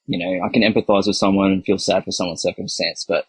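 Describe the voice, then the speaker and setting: A man from Scotland hosting a casual podcast, talking in a measured and agreeable way. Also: deep voice, steady tone, a little mellow, slightly rough, no room sound. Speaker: podcast host.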